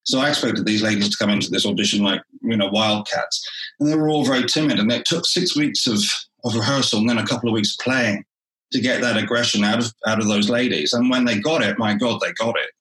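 A man who is a theatre director, talking through his work in a relaxed interview and often restarting his phrases. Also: fairly low pitch, fast talker, roomy sound, poor audio.

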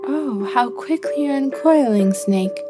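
A woman's voice: high voice, expressive, measured pace, pitched above the performer's own voice. A woman voicing a brave, stubborn, curious young antelope in a children's story, eager and full of exclamations. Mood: excited, hurried. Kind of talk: children's storybook character acting. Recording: loud music behind the voice.

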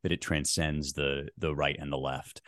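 A man speaking as a guest author in an interview, thoughtful and academic, pausing often with fillers as he reasons. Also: rumbling low, level tone, a bit bright, dry recording, clean studio sound. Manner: thoughtful, halting, many fillers.

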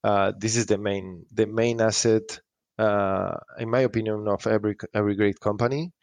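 Low-voiced man giving interview answers. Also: steady tone, a little mellow, dry recording. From Spain. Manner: halting, reflective, repeats phrases. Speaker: startup founder being interviewed.

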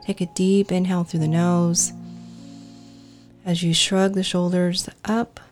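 A woman leading a guided meditation in a calm, soothing, deliberate manner. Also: on the low side, faint music behind.

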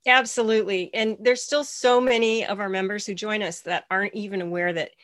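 A woman's voice: mid-range voice, steady tone, brisk. A woman co-hosting an interview, enthusiastic in casual chat and often repeating her first words. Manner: warmly enthusiastic, stumbling over repeated words. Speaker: co-host.

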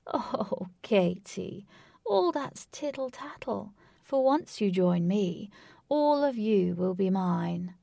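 A woman voicing a theatrical, delusional male stalker who speaks in a grand, drawn-out way. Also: mid-range voice, expressive, creaky, warm tone, no echo, studio quality, full character voice.

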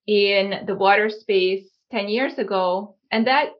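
A woman from Hungary with a creaky voice, answering conversationally as an interview guest. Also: mid-range voice, takes it slowly, highs cut off. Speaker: guest, company founder.

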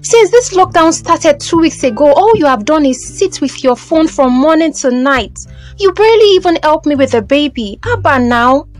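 Woman performing audio drama housewife, late twenties, Nigerian accent, high, emphatic, scolding, fed up. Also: expressive, moderate pace, faint music behind. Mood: exasperated, retorting. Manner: emphatic, scolding, repeats phrases.

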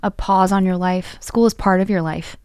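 A woman's voice: mid-range voice, steady tone, normal speed, darkish tone, clear, resonant tone, no echo, clean studio sound. A woman co-hosting a podcast in casual, enthusiastic chat, gushing and slangy as she talks. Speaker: podcast co-host.

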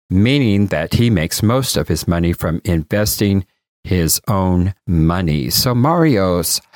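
A man with a fairly low voice hosts an interview show. He reads a formal scripted introduction and then asks questions in a measured way. The sound is dry and close, without room echo. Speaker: podcast host.

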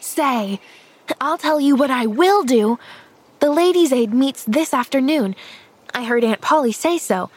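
A woman's voice: high voice, lively intonation, moderate pace, pitched above the performer's own voice. A woman voicing an eager, kind-hearted young girl in an audiobook, chattering in a breathless, earnest, gushing way. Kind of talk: audiobook character voice.

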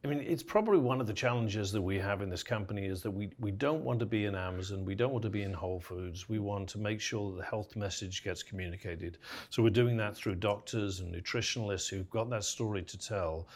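A man, a company founder, calmly making his case as an interview guest and citing study figures. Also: lowish voice, brisk, hardly any pauses. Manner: steady, persuasive, cites figures. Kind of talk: casual interview.

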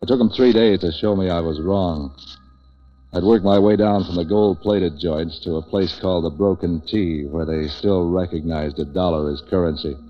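Man acting hard-boiled noir hero, American, deep voice, cynical deadpan narration, lo-fi vintage radio drama. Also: moderate pace, lightly acted. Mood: wry, world-weary. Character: cynical, street-wise waterfront boat renter for hire. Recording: dull, lo-fi 1940s radio recording.

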